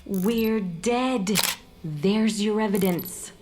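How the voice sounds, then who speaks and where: A woman's voice: medium pitch, extremely slow, noisy background. An actress playing the sardonic, indignant ghost of a murdered mother in an audio drama, with an impatient manner. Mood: bitter, indignant. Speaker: actor in fiction podcast.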